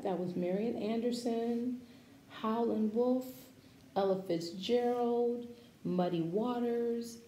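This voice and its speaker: A woman reading a picture book aloud to children, expressive and deliberate, with emphatic phrasing and encouraging asides. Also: on the high side. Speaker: children's story-time reader. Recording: echoey room with faint background noise.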